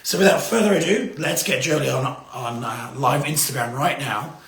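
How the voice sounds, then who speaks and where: A man with a gravelly voice hosting a casual live music interview in an effusive, exclaiming manner. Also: medium pitch, normal speed, fairly bright tone, reverberant. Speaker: interview show host. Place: indoors, live social media stream.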